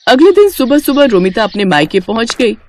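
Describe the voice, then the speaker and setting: A woman's voice: a little high, sing-song, a little fast, no room sound, clean studio sound. A woman storyteller reading scripted narration of a family moral story.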